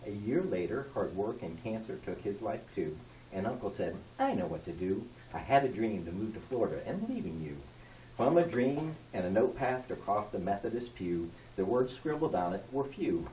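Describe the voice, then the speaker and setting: A man reading a rhyming poem aloud at a party like an expressive amateur storyteller. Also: lowish voice. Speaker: family member reading a tribute poem. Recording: echoey room, distant mic, background noise.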